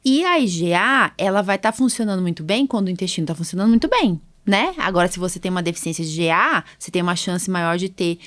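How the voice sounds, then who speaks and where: A woman's voice: fairly low pitch, expressive, fast, hardly any pauses, fairly bright tone. A Brazilian woman hosting a podcast as a doctor, chatting informally and chiming in with agreement. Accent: Brazilian Portuguese. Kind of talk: casual podcast chat.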